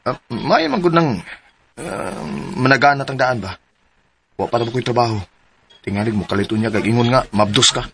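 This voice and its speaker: An actor playing a hesitant, bashful young suitor in a Filipino radio drama, with a Cebuano accent. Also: male voice, mid-range voice, expressive, moderate pace, gravelly, pauses often. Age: young adult. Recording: faint background noise.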